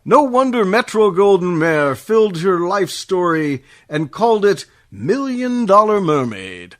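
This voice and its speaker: An actor playing an agreeable, polished 1950s radio host in scripted promotional banter. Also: male voice, high-pitched, big pitch swings, measured pace, a bit bright, full character voice. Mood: agreeable, admiring.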